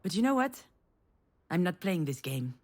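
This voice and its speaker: A woman's voice: on the low side, very animated, normal speed, darkish tone. An actress playing a wry, self-deprecating failed screenwriter, confiding her thoughts as a game's narrator in a sardonic way. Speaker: narrator and protagonist. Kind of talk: first-person inner monologue in a narrative game.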